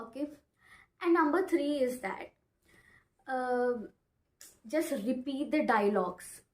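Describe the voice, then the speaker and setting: A woman's voice: fairly high pitch, darkish tone. A woman in her twenties with an Indian accent, a non-native English speaker, presenting an informal lesson to camera, emphatic and repeating phrases with frequent check-ins. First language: not English. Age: 20s. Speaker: English-learning video presenter. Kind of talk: informal instructional talk to camera. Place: small bare indoor room. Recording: echoey bare room.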